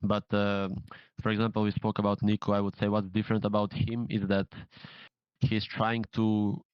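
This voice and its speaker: Low, flat-voiced man on a dull line. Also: smooth, no room sound. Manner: measured, searching for words. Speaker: professional gamer, in-game leader. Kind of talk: casual interview answers. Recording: dull lo-fi sound.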